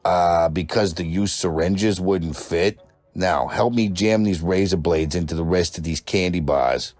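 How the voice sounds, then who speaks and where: A man voicing a gruff, sardonic cartoon monster dad. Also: deep voice, a little slow, a little mellow, no room sound. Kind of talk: animated cartoon acting.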